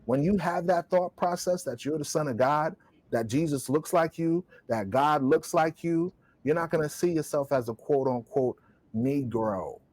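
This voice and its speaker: A man's voice: a little high, normal speed, a little mellow, no room sound. A man speaking in an impassioned, preacher-like podcast discussion, stressing his points with rhetorical questions and scripture. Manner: emphatic, rhetorical, quotes scripture. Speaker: podcast co-host.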